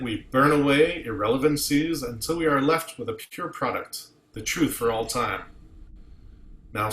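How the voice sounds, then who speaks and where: A man playing an eloquent starship officer arguing as a defense advocate, with probing, deliberate rhetoric in a table read. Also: mid-range voice, fairly bright tone, roomy sound, lightly acted, rough recording. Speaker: amateur actor in a table read. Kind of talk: dramatic courtroom monologue.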